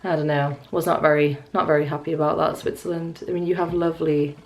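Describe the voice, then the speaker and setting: A young woman talks casually into a camera in her room. Her voice sits on the low side and crackles with vocal fry. She muses hesitantly, with a wry edge, as if thinking out loud. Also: little pitch movement, fast talker. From Newcastle area, north-east England. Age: 20s. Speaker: vlogger. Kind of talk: casual, rambling chat to camera. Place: small furnished bedroom at home, indoors.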